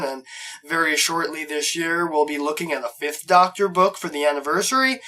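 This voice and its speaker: A man's voice: sits high, pushed and bright. A man hosting a scripted review, narrating with a fan's enthusiasm and wry asides. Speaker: host, reviewer.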